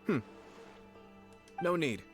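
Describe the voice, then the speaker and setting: An actor playing a courtly, shrewd young clan lord in a video game, speaking in a formal, measured and polished way. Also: male voice, faint music behind. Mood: composed, dignified.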